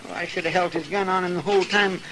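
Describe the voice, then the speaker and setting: An American actor playing an anxious, talkative, loyal deputy in an old radio western, with a rural twang and a rambling, hesitant manner. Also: male voice, sits high, quick pace, lightly acted. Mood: sheepish, complaining. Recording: old radio recording, faint noise.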